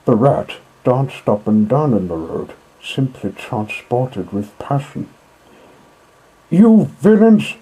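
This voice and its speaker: A man narrating a classic children's story in a measured, dramatic way, with deliberate pauses and a husky voice. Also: fairly low pitch, animated, mellow, low-quality recording.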